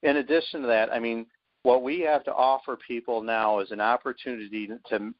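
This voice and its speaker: A man's voice: medium pitch, steady tone, brisk, no room sound. A man speaking as a sales team leader on a conference call, confident and emphatic, punching his points. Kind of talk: motivational sales pitch on a call. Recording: dull lo-fi conference phone line.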